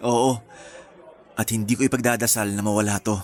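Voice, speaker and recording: A man's voice: lowish voice, fairly even intonation, moderate pace, natural voice. A man playing a smitten young fiancé with a secret affair in a radio drama. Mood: tender.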